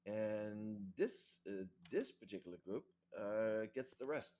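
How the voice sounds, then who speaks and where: A man's voice: lowish voice, expressive, slow, warm tone. A man chatting casually as a player in a tabletop game, a little hesitant and repeating his words.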